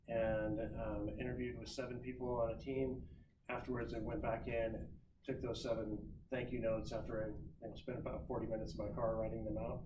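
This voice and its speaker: A man speaking as a guest recruiter in a class, giving career advice in a loose, conversational way with lots of ums and restarts. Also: mid-range voice. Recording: roomy, distant mic, noisy.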